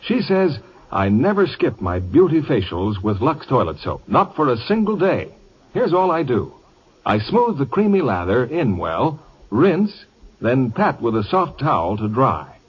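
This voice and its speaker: A man delivering a scripted advertising pitch as a mid-century radio announcer. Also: sing-song, measured pace. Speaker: commercial announcer. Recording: dull, husky-sounding old radio recording.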